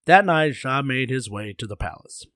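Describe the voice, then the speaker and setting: A man's voice: medium pitch, animated, normal speed, a bit bright, no echo, clean studio sound. A man telling a folktale as a storyteller in a casual, conversational way, with playful slang.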